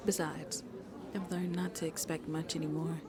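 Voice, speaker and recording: A woman playing a shy, gentle century-old vampire who seems in her twenties, soft-spoken and hesitant in an ASMR roleplay, often dropping to a near-whisper. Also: sits low, flat delivery, crowd noise, lightly acted. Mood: wistful. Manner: hesitant, apologetic, halting, breathy near-whispers.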